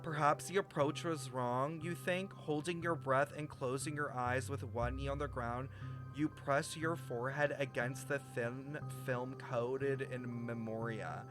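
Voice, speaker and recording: A man livestreaming a game, reading its text aloud and thinking through it in an animated, puzzled way. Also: medium pitch, measured pace. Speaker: game streamer. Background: game music.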